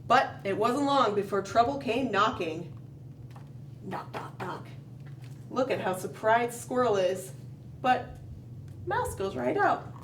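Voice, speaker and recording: A woman reads a picture book to young children in an unhurried, expressive way. She leaves dramatic pauses and adds questioning asides as a storytime host. She is some distance from the mic in a roomy space, with faint noise behind her. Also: a little high.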